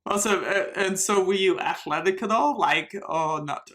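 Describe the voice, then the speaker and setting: A man's voice: sits high, moderate pace, bright, forceful tone. A man hosting a casual tea podcast interview, upbeat and chatty, often stammering and restarting his questions. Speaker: podcast host.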